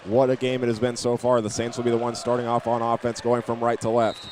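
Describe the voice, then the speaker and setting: A man calling live high school basketball play-by-play as a broadcaster from inside a gym. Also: completely flat delivery, quick pace, darkish tone. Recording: faint gym noise behind the voice.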